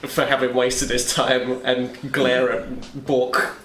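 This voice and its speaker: A man's voice: medium pitch, level tone, slow, fairly bright tone. A man chatting casually as a tabletop player, describing what his character does. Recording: roomy echo, faint background noise.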